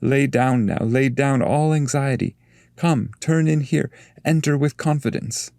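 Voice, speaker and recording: A man's voice: medium pitch, unhurried, a little mellow, no room sound, studio quality, voice pushed up, close to an everyday voice. A male narrator as a fatherly sage guide, lifted voice, coaxing, earnest, epic storybook reading. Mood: earnest, reassuring. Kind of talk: dramatic literary reading.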